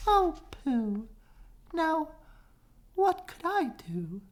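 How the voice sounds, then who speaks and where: Woman putting on a squeaky cheeky storybook mouse. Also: a little mellow. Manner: squeaky, teasing. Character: cheeky little male gray storybook mouse.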